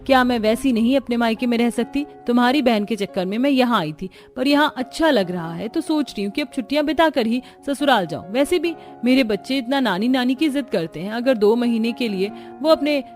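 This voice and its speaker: A woman's voice: a little high, expressive, a little fast, hardly any pauses, a little mellow, faint music behind, pitched above the performer's own voice, close to an everyday voice. A woman voicing a work-shy, self-serving married sister-in-law in a cartoon, full of breezy excuses. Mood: self-satisfied.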